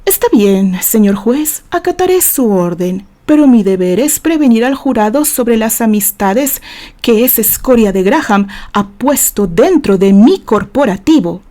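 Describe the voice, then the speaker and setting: A woman's voice: a little high, animated, measured pace, warm tone. A Mexican woman voicing a scornful male litigant in an audio novel, formal but biting. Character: scornful male litigant feigning deference to the judge.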